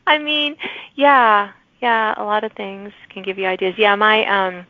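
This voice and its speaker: A woman's voice: medium pitch, fairly even intonation, unhurried, no room sound. Chatty middle-aged woman host, dry slightly dull sound. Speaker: podcast host. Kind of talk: casual interview chat.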